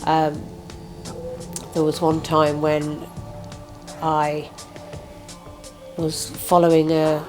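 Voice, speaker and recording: A woman's voice: deep voice, darkish tone. A middle-aged woman telling a casual anecdote in an interview. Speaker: mental health service user interviewee. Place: indoor room.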